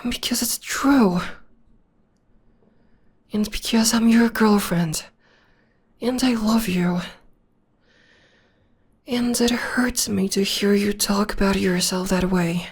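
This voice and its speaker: Woman does a caring witch gf comforting you, husky whispers, takes it real slow, right up on the mic. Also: mid-range voice. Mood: tender, reassuring. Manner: soft half-whispered comforting. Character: gentle young witch girlfriend, devoted, reassuring. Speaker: voice actor. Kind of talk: ASMR audio roleplay. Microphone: close mic.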